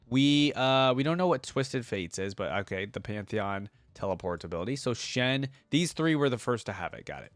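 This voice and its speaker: A man's voice: medium pitch, no echo. A man giving casual gaming reaction commentary as a video host, thinking aloud in an informal way.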